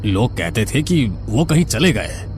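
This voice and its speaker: A man playing a matter-of-fact official briefing a patient in a horror story, measured and formal. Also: medium pitch, big pitch swings, a little hiss or hum. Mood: formal concern.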